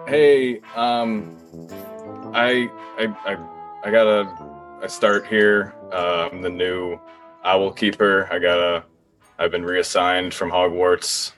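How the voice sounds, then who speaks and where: A man's voice: deep voice, flat delivery, takes it slowly, fairly bright tone, faint music behind. A man playing a meek, eager new wizard employee in an improvised comedy sketch, stammering earnestly and hesitantly. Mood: nervous. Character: meek, eager male wizard newcomer starting a job.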